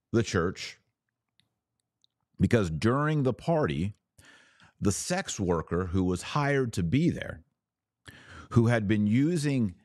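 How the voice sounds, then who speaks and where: Man, very deep voice, podcast news commentary, emphatic, informal asides, dry sound. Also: no echo.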